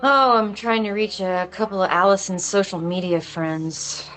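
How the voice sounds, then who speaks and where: A woman's voice: medium pitch, fairly even intonation, normal speed, fairly bright tone, soft background music, close to an everyday voice, low-quality recording. A woman playing a guarded, dry-witted police officer in a film script table read. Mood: businesslike, casual.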